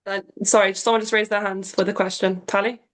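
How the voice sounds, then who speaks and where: A woman moderating a webinar panel over a video call, brisk as she hands out questions. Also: medium pitch, little pitch movement.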